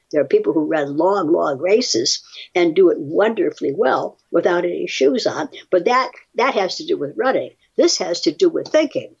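A woman's voice: lowish voice, gravelly, slightly rough. An older woman reading aloud and explaining in plain, wry, chatty asides, on a slightly dull, muffled recording. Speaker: guest reading and commenting on research studies.

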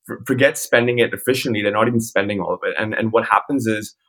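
A man's voice: on the low side, quick pace, fairly bright tone. A man in his twenties co-hosting a podcast in a conversational interviewing style, wordy and often repeating his phrases. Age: 20s. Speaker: podcast co-host.

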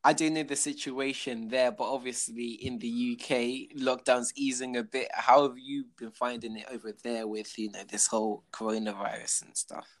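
A young man from England hosts a casual podcast interview. He talks at a middling pitch and keeps stopping for ums and quick restarts as he gathers his thoughts. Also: little pitch movement, poor audio. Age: young adult. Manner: hesitant, many ums and restarts. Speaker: podcast host.

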